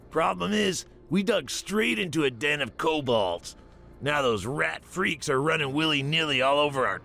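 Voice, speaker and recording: Sharp, creaky goblin engineer. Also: male voice, a little high, exaggerated voice. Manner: brash, slangy, chummy. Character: brash fast-talking male goblin chief engineer. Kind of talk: fantasy game voice acting.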